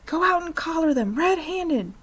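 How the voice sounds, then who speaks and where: Woman doing a panicky shrill mother. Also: expressive, moderate pace. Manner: panicky, hissing.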